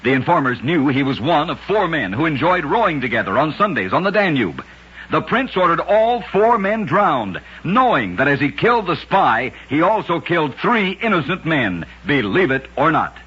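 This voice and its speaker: A man narrating scripted strange tales for an old-time radio show, with deliberate, dramatic storytelling delivery. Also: a little high, sing-song, a bit bright, breathy edge. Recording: dull old radio recording.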